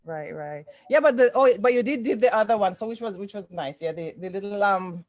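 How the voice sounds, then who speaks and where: A woman's voice: mid-range voice, expressive, fairly quick, darkish tone, dry recording. A woman from Toronto, Canada, chatting casually as host of an online sewing group, often repeating short affirmations. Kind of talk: casual livestream chat. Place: indoors, on a group video call.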